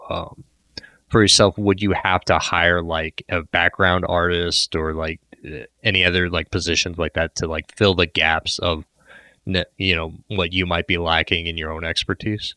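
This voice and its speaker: A man hosting a podcast, asking rambling, filler-heavy questions in a relaxed chat. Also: low-pitched, normal speed, clean tone, dry recording. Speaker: podcast host. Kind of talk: casual interview chat.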